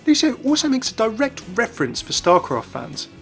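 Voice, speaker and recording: A man's voice: very high voice, wildly swooping pitch, brisk, vocal fry, a bit bright, faint music behind. A man hosting a gaming video with enthusiastic scripted narration.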